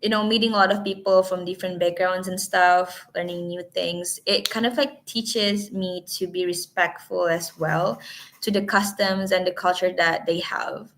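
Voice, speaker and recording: A woman's voice: on the low side, steady tone, moderate pace, fairly bright tone. A young Indonesian woman, hesitant speech. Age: young adult. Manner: hesitant, many fillers and restarts. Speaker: guest speaker. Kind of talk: webinar talk.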